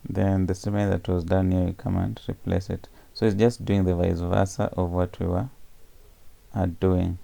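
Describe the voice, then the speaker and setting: A man explaining programming step by step in a screencast tutorial, pausing often with fillers and correcting himself as he goes. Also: low-pitched, little pitch movement, gravelly, dark tone.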